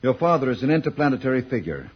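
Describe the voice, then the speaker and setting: An actor playing a grave, reassuring interplanetary official with formal phrasing in a vintage radio serial. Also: male voice, medium pitch, very animated, fast, breathy edge. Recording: muffled old radio recording, faint noise.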